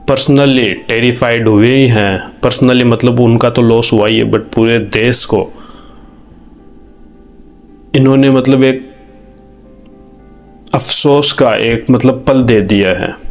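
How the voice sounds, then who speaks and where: A man from India with an Indian accent, hosting an informal, reflective video commentary in an earnest, conversational way, mixing in English phrases. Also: medium pitch. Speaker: video host.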